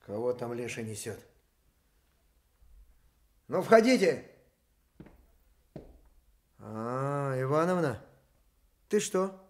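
A bitter veteran father in a Soviet radio play. He is hard-edged and calculating. His delivery is slow, with big swings in pitch. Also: very animated, full character voice. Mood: uneasy.

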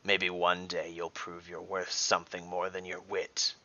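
A man voicing a sinister, taunting dragon with a hissing, breathy whisper, speaking slowly and deliberately in an audio story. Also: low voice, monotone, sharp tone, dull audio, full character voice. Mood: menacing, contemptuous. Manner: slow, deliberate. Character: sinister taunting male dragon, menacing and mocking.

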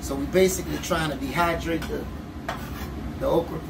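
A middle-aged man hosting a relaxed cooking demonstration in a home kitchen, teasing and laughing often. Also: fairly high pitch, moderate pace, gravelly. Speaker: chef and cooking show host. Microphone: far camera. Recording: echoey kitchen, distant mic, loud background noise.

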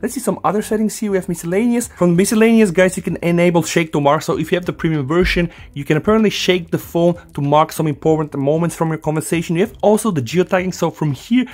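A man's voice: sits high, fast talker, hardly any pauses, a little mellow. A man hosting a casual hands-on phone tutorial, talking directly and informally to his viewers. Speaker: tech tutorial host.

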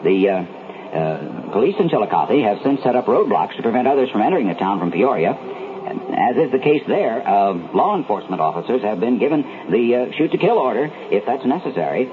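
An actor playing a flustered radio news anchor in a 1970s drama, halting and hesitant with many ums and stammers. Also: male voice, medium pitch, sing-song, close to an everyday voice. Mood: flustered, apologetic. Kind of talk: 1970s radio newscast acting. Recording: narrow, noisy 1970s radio recording.

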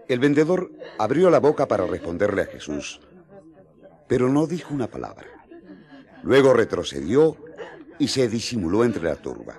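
A man's voice: on the low side, sing-song, moderate pace, pauses often, a little mellow. A male actor playing the grave storyteller of a gospel radio drama, with dramatic scripted narration.

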